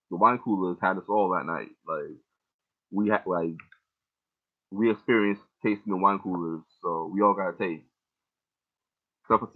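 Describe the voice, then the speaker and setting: A young man casually breaking down his own song lyrics line by line, rambling and swearing freely. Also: low-pitched. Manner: loose, rambling, heavy casual profanity. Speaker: rapper explaining his lyrics. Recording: tinny phone-like audio.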